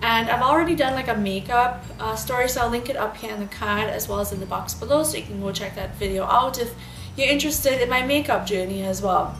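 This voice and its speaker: Trinidadian woman in her thirties, chatty beauty vlogger, slightly high voice, roomy echo with faint music behind. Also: a little fast, a bit bright, a little hiss or hum. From Trinidad and Tobago. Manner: chatty, rambling, many fillers. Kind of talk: casual storytelling vlog.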